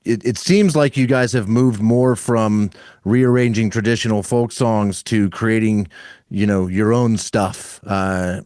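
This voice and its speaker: Low-voiced man hosting a podcast interview. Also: a little mellow, clear, resonant tone, dry recording, clean studio sound. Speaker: podcast host interviewing a band. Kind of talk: casual interview.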